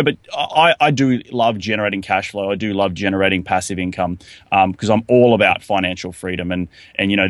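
A man with an Australian accent talks fast and eagerly, tripping into restarts as he explains his work. His voice sits low, and the recording is dry and close with no room around it. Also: no echo. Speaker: real estate investor guest. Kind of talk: podcast interview.

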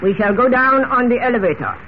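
An actor playing a menacing Egyptian villain with formal, coldly polite speech, in 1940s radio style. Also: male voice, very high-pitched, fairly quick. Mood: threatening. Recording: tinny, hissy old radio recording.

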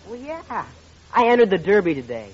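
An actor playing an eager, independent teenage son with a boyish, casual manner in a 1940s radio comedy. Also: male voice, high-pitched, big pitch swings, moderate pace, breathy edge, heavily acted. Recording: muffled old radio recording, hiss.

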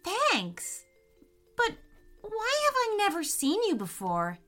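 A woman voicing a curious, excitable little schoolgirl in a children's story, eagerly exclaiming and questioning. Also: very high-pitched, slow, soft background music, voice pushed up, full character voice.

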